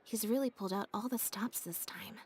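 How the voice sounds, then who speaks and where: An actress voicing a reserved, composed young woman in a video game, with an understated, measured, soft-spoken delivery. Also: medium pitch, natural voice. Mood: pensive, composed. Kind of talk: scripted video game voice acting. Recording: game audio under clear music. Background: background music.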